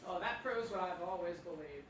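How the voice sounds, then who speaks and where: An actor playing a genial, sly man who bosses his lodger, folksy and gently needling, in old-time radio comedy style. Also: sits high, expressive, fairly quick, recorded from a distance. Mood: chatty, genial. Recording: echoey theatre from afar, audience audible. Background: audience noise.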